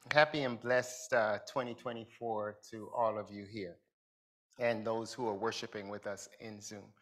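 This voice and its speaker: A middle-aged man preaching as a pastor from a pulpit in a large church hall, speaking deliberately and building emphasis. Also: on the low side, steady tone, a bit bright. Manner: slow, deliberate delivery with rising emphasis. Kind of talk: sermon.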